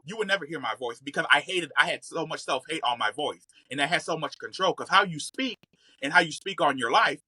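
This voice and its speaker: A man's voice: on the high side, lively intonation, brisk, sharp tone, slightly rough, no echo, poor audio. A man in his thirties chatting casually as a podcast guest, telling stories in a sassy, slangy, emphatic and comedic way. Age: 30s. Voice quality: occasional slight stutter. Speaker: podcast guest, content creator. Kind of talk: casual, animated storytelling.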